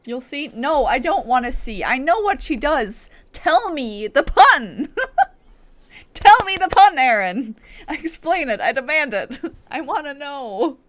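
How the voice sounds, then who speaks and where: A woman's voice: high voice, big pitch swings, slow, a bit bright. A woman streamer giving casual, playful and reactive commentary as she plays through a game.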